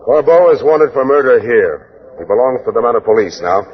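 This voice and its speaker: An actor playing a firm, dutiful Mounted Police sergeant, speaking formally and with military clip in 1940s radio style. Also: male voice, mid-range voice, animated, moderate pace, slight background noise, lightly acted. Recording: dull, lo-fi 1940s radio recording.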